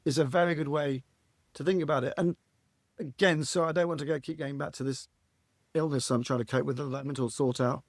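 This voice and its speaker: A man with a husky voice, a podcast guest talking reflectively and earnestly, often restarting his phrases. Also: fairly high pitch, fairly even intonation, fairly quick, dry recording, studio quality. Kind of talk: reflective conversational interview.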